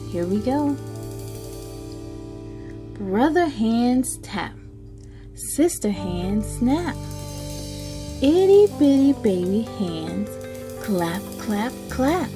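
A young woman reading a rhyming children's picture book like a radio host, playful and stressing the beat. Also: on the high side. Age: young adult. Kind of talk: rhythmic children's picture book read-aloud in radio DJ style. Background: jazz music.

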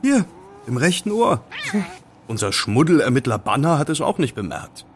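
A man's voice: medium pitch, very animated, moderate pace, a little hiss or hum, lightly acted. An actor playing a curious, wary young male student sleuth in an audio drama, conversational and musing. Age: young adult. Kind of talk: German audio drama acting.

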